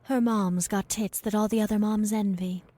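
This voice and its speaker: A woman playing a weary, confused and blunt character in an audio drama, halting and breathy. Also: medium pitch, fairly even intonation, mellow, natural voice. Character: confused, weary woman, blunt wine-drinking mother figure. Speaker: actor.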